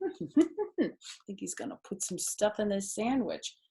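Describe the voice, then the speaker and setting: A middle-aged woman reads a picture book to young children at a very slow, deliberate pace. Her voice sits at a medium pitch, and she speaks simply, in the manner of storytime with chatty asides. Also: big pitch swings, creaky, dark tone, slight background noise. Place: small furnished living room, indoors.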